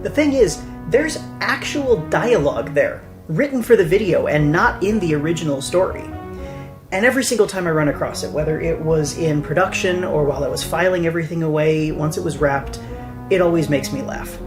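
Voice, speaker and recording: A woman's voice: low-pitched, lively intonation, fairly quick. A woman filmmaker giving a scripted behind-the-scenes narration about their own work. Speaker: writer and filmmaker. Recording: voice over clear background music. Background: music.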